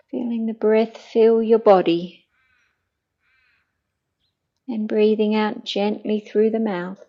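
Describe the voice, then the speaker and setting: A middle-aged woman guides a meditation on a slightly dull, muffled recording. She speaks very slowly and gently, with a soft, mellow tone. Her delivery is soothing and hushed, at times breathy. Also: on the high side.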